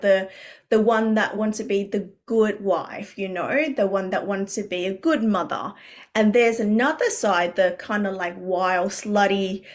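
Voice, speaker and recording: A woman from Vietnam, guest on a podcast as a coach, talking in an earnest, reflective way with many fillers and restarts. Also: medium pitch. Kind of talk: podcast interview.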